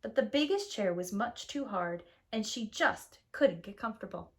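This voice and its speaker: Very animated woman reading a children's story. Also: fairly high pitch, moderate pace. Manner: emphatic, expressive storytelling for young children. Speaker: preschool teacher storyteller.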